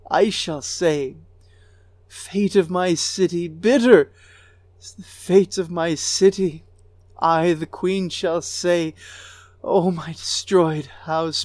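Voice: mid-range voice, a little mellow, no echo. A reader voicing a grieving goddess queen of a ruined city, lamenting with a voice that breaks into sobs. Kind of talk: dramatic recitation of an ancient lament.

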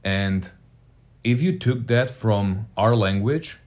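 A man's voice: lowish voice, slow pace, darkish tone. A man co-hosting a podcast with blunt, emphatic and scornful casual banter. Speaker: podcast co-host.

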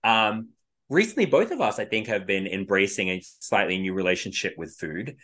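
A man's voice: lowish voice, animated, moderate pace, pushed and bright. Reflective man in casual spiritual chat. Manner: reflective, meandering, trailing off and restarting. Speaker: podcast co-host.

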